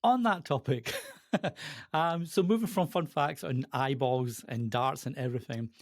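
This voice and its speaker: A man's voice: mid-range voice, measured pace, dry recording, clean studio sound. A man hosting an interview podcast, reacting and asking questions in a casual, conversational style.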